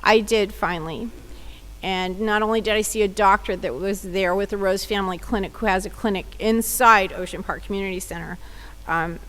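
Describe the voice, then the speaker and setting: A woman's voice: mid-range voice, fairly even intonation, normal speed, a bit bright, rough recording. A woman community advocate giving plain personal testimony at a public hearing, telling her story in the first person. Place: government hearing room.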